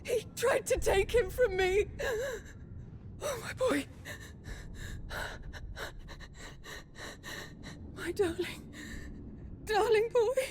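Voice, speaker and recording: A woman's voice: extremely high pitch, slow pace, darkish tone, slight background noise. An actress playing a haughty, embittered noblewoman mother in a fantasy game, speaking imperiously and with volatile emotion. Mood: anguished, doting. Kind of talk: dramatic fantasy game acting. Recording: dramatic music under the voice.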